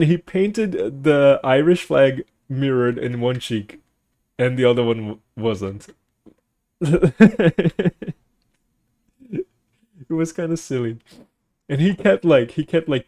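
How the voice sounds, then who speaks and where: A man's voice: mid-range voice, expressive, slow pace, vocal fry, long pauses, mellow. A young man, a native Portuguese speaker with a Portuguese accent, chatting casually with stream viewers, rambling, searching for words and laughing often.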